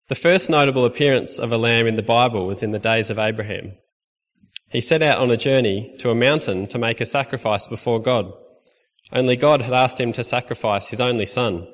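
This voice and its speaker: A man's voice: mid-range voice, a little fast. Young Australian man preaching in a hall. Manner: friendly, conversational preaching with light humor. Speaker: lay preacher. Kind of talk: sermon. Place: church hall.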